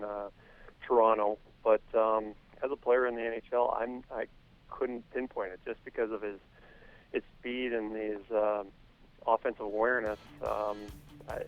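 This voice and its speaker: A man with a fairly low voice gives a phone interview as a hockey coach. He speaks in a measured, hesitant way, feeling for his words. Faint music runs behind him. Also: poor audio.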